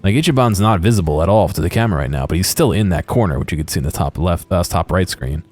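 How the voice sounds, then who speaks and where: Low-pitched man, halting casual commentary. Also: expressive, a little mellow. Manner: hesitant, halting, unscripted delivery. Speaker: video creator giving commentary.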